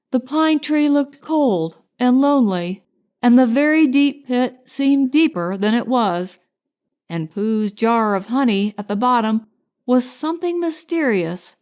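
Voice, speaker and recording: A woman narrating a children's storybook in a measured, expressive storytelling manner. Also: a little high. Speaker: audiobook narrator.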